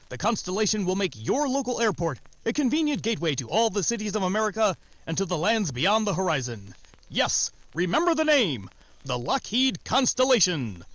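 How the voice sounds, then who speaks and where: High-pitched, animated male radio announcer. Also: no room sound, rough recording. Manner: emphatic, formal. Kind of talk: scripted 1940s radio announcing, sponsor read.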